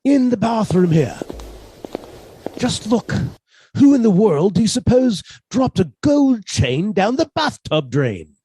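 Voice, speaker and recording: A man's voice: high-pitched, big pitch swings, slow, mellow, breathy edge, no room sound, exaggerated voice. A man playing a henpecked husband turned murderer in a radio suspense drama, fussy, precise and politely menacing. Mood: nervous, coaxing.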